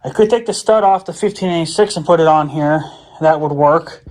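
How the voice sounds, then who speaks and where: Man, slightly high voice, casual DIY vlog chat, rambling, thinking aloud, mildly profane. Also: a little fast, darkish tone. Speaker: vlogger.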